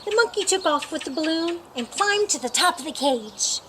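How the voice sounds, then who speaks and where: A woman reading a picture book aloud as children's storytime, with deliberate, expressive phrasing for young listeners. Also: very high voice, a little hiss or hum.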